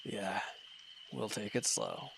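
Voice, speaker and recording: A man playing an injured, weary villain in a roleplay audio drama, guarded and quietly considerate, speaking in halting, hesitant lines. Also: huge pitch swings, creaky, noisy background, heavily acted. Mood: weary, reluctant. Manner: hesitant, halting, low-key, grudging. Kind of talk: roleplay audio drama, intimate one-sided dialogue.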